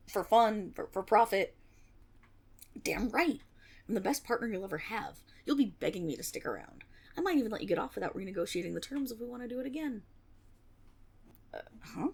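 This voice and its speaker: Woman playing a husky, flustered young rogue girl. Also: fairly high pitch, big pitch swings, moderate pace, exaggerated voice. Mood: cocky bluster, then hesitant, awkward. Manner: stammering, blustering, streetwise. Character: flustered young female tiefling rogue, cocky but insecure. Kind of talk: fantasy roleplay audio drama, one-sided dialogue.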